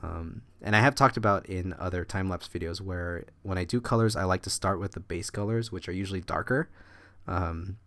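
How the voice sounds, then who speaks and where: A man's voice: sits low, brisk, a bit bright, no echo. A man who is a little sick with a cough, casually talking over a drawing time-lapse in a rambling, meandering way as the channel's host.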